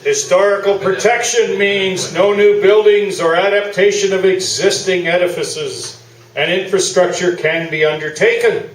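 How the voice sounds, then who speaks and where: Pompous male sports columnist, played by an actor, high-pitched, orating, radio play on a roomy stage. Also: measured pace, a little hiss or hum. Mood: grandiose. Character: pompous old-time male sports columnist, self-satisfied, windy. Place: small theatre, live performance. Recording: roomy stage recording from far off.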